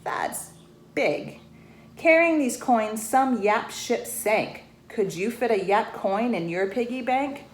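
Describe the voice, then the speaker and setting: A woman reading a rhyming children's storybook aloud in an expressive way, pausing to put questions to the young listeners. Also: fairly high pitch. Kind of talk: children's storybook read-aloud, rhymed verse with asides to listeners. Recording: roomy echo, faint background noise.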